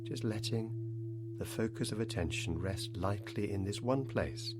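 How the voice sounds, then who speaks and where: Low-voiced man guiding a meditation. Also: over music. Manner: unhurried phrases with long pauses. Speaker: meditation guide. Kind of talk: guided meditation narration.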